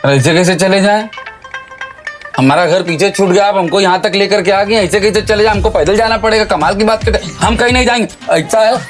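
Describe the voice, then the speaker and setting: A man's voice: sits high, brisk, soft background music, natural voice. A man playing a stubborn, exasperated young tea seller who argues in rustic, colloquial speech in an audio drama. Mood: stubborn, indignant.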